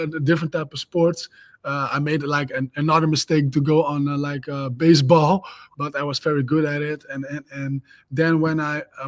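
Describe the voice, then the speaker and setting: Guest: young Dutch man, Dutch accent, lively and eager, fairly high voice, dry close sound. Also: dry recording. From Netherlands. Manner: energetic, eager. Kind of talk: casual interview chat.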